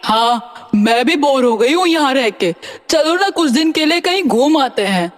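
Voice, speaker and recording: A woman voicing a restless female witch ghost in an animated story. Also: high-pitched, unhurried. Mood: bored. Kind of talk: animated Hindi story dialogue. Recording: long hall reverb, music underneath.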